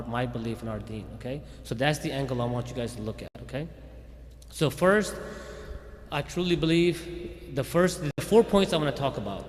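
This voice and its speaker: A man speaks conversationally to a community audience in a hall, often restarting his sentences and checking in with "okay?". His voice is mid-pitched and carries a long reverb. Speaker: police chief speaking at a community event. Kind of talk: informal faith talk to a community audience. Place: Islamic center hall.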